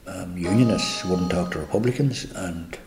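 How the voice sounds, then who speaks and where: An older man with an Irish accent, answering interview questions in a halting, reflective way with many restarts. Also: low-pitched, a little mellow, soft background music. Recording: echoey room.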